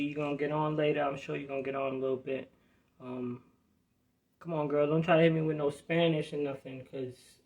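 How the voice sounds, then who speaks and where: A man's voice: fairly high pitch, dark tone, poor audio. A man from New Jersey hosting a live podcast, talking in a rambling, profane, streetwise way. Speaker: podcast host. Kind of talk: casual live podcast chat.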